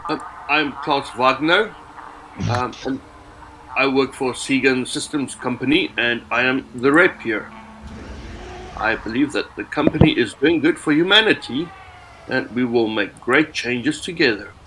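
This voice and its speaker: Bright, earnest male gamer. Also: medium pitch, measured pace, slight background noise, rough recording. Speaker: tabletop game player. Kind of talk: in-character introduction.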